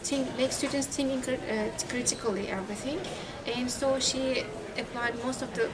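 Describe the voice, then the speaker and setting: A woman from Turkey, an English teacher answering interview questions haltingly. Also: high voice, fairly even intonation, low-quality recording. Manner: halting, searching for words. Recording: very loud crowd chatter behind.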